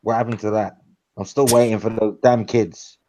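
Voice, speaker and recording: A man's voice: on the low side, steady tone, normal speed, warm tone, slight background noise. A man co-hosting a podcast in casual chat, with a dry, dismissive sense of humor. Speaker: podcast co-host. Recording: slightly dull lo-fi voice-chat sound.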